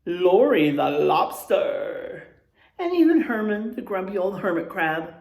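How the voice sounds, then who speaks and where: A woman teacher reading a children's storybook aloud in an expressive way, adding explanations and checking in with her young listeners. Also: medium pitch, unhurried, vocal fry. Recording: roomy, echoing room sound.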